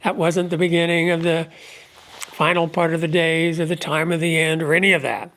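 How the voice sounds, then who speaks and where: Congested man in a halting monologue. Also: fairly high pitch, flat delivery, fairly bright tone. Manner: measured, halting delivery with frequent pauses. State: congested with a cold, stuffed up. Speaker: religious commentator. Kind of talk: unscripted monologue on prophecy.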